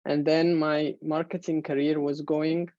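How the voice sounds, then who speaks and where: A woman in her thirties from Egypt, a native Arabic speaker, giving a measured presentation in an online webinar with many fillers. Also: low voice, little pitch movement, mellow, dry recording. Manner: measured, explanatory, frequent fillers. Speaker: main speaker. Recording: dull, muffled webinar audio.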